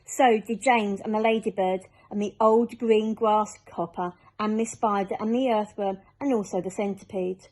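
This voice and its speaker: A woman's voice: mid-range voice, steady tone, moderate pace, few pauses, darkish tone, low-quality recording. A woman narrating a children's storybook aloud, as a teacher reading a chapter.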